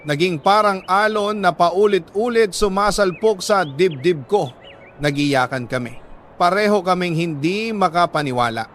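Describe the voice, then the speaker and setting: A man with a Filipino accent narrating a first-person dramatic story as a radio storyteller, emotive and sorrowful, with deliberate pauses. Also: high-pitched, fairly bright tone, a little hiss or hum. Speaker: radio story narrator reading a listener's letter.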